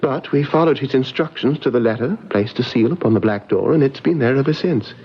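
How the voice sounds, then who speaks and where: A man playing a troubled, fragile young gentleman of twenty, earnest and hesitant, in old-time radio drama style. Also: medium pitch, brisk, husky. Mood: earnest, melancholy. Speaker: actor in a radio mystery drama. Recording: muffled vintage radio recording with music.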